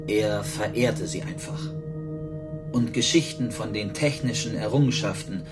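An actor playing a weary prisoner who narrates a literary radio play. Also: male voice, fairly low pitch, measured pace, loud music behind the voice, lightly acted.

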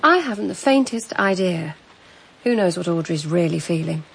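An actress playing an anxious, meddling companion in a BBC radio drama, fretful and well-meaning. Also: female voice, fairly low pitch, expressive, moderate pace, creaky, husky, full character voice, poor audio.

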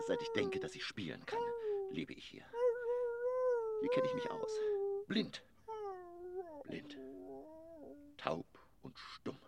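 An actor in a radio drama playing a melancholy, self-absorbed stage actor who declaims and swings between whispers and outbursts. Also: male voice, very high-pitched, vocal fry, noisy background, full character voice. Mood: plaintive, fragile. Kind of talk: German radio drama monologue.

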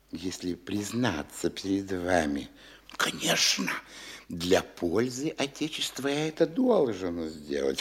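A fawning, sly telltale of an official for a classic radio play, always ready to gossip. His voice sings up and down wildly, and he spins out his words slowly and sweetly. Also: deep voice, slightly rough, heavily acted.

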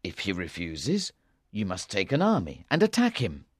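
A stern husky royal adviser. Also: male voice, low voice, wildly swooping pitch, normal speed, no echo, studio quality, full character voice.

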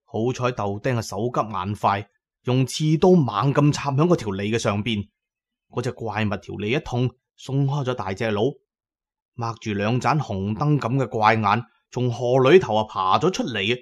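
A man with a Cantonese accent narrates an adventure audio drama in an expressive, suspenseful storytelling style. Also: medium pitch, normal speed. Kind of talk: dramatic scripted storytelling in the first person.

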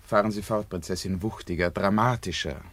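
Low-voiced pompous drama teacher. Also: male voice, a little fast, fairly bright tone. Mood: urging. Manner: emphatic, instructing. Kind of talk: German radio play acting.

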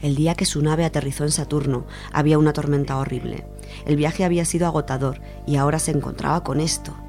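A woman's voice: sits low, steady tone, quick pace, a little mellow. Spanish woman reading a story over music. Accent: Spain. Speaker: storyteller on a radio show. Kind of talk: literary short story reading. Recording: music behind the voice.

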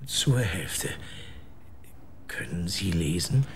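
A massive, brooding guardian in a radio play, voice very low and gravelly, rising and falling widely as he breathes out slow, whispered questions. Faint ambience sits behind him. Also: very animated, a little hiss or hum, full character voice. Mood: hushed, brooding. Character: massive, brooding older man guarding a warehouse. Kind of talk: radio drama acting.

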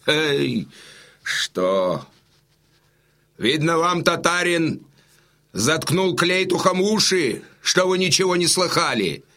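An actor playing a bitter, indignant Cossack messenger bringing grim news with emphatic theatrical delivery in an audio drama. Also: male voice, fairly high pitch, animated, slow, pauses often, bright, forceful tone, full character voice. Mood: grim, scornful.

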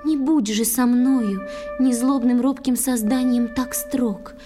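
A young female water spirit in a children's audio play. She has a high voice and a childlike, capricious, soulful temper. Her verse comes out tender and plaintive, with music clearly playing behind her. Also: slow pace. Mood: pleading, troubled. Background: music with flute.

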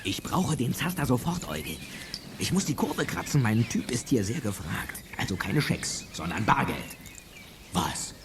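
An actor playing a gruff criminal on the run in a children's radio play, menacing, blustering and slangy. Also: male voice, medium pitch, very animated, measured pace. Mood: pressing, demanding. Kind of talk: 1980s German children's radio play acting. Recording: clearly noisy radio play recording.

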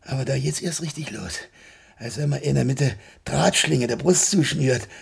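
Creaky-voiced older Berlin working man. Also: mid-range voice, normal speed, full character voice. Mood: agitated complaining. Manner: colloquial, rambling, dramatic storyteller. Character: chatty, stubborn, plain-spoken older retired baker, a hospital patient. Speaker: patient. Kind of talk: German radio play acting.

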